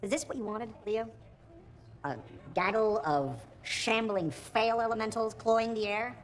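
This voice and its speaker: A woman's voice: fairly high pitch, unhurried. A woman playing a sarcastic, short-tempered tabletop gamer who rants theatrically in a comedy film. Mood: exasperated. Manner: sardonic, theatrical ranting. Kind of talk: scripted comedy film acting.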